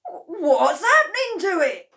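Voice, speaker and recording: A woman voicing an excitable, loud, middle-aged farmer father who shouts orders frantically. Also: high voice, slow, voice pushed up, full character voice. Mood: frantic.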